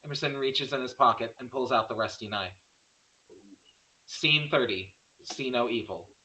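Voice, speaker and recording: A man narrates a horror screenplay, reading scene headings and action plainly. His voice is medium in pitch with a bright, forceful edge. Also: normal speed, rough recording. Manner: plain stage-direction reading. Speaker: script narrator.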